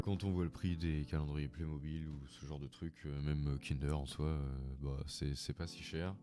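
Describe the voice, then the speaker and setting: Male vlogger with a cold, very low voice, loose rambling delivery, music bed underneath. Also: mellow. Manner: informal, rambling. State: has a cold. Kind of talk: casual video tutorial. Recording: music playing behind the voice.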